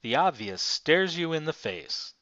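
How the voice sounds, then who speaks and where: A man reading a nonfiction book aloud as scripted narration, in a measured, formal manner. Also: a little high, animated, a bit bright, dry recording. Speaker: audiobook reader. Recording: dull, lo-fi, dry close recording.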